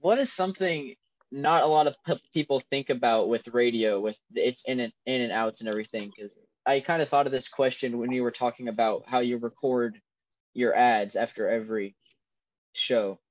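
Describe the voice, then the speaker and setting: Young man, interviewer, halting questions, dull lo-fi webcam sound, dry. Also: medium pitch, no echo. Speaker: podcast host, interviewer. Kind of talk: casual interview on a video call. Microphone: laptop webcam on a video call. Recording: dull lo-fi video call audio.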